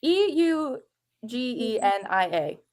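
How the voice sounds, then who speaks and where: A woman's voice: on the high side, big pitch swings, takes it slowly, darkish tone. A woman chatting casually and animatedly as co-host of a book discussion, breaking easily into giggles. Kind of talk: casual chat.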